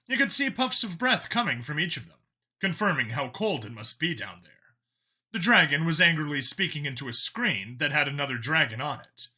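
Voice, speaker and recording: A man's voice: fairly high pitch, bright, forceful tone. A man narrating a scripted action story as an audiobook narrator, in a dramatic reading style.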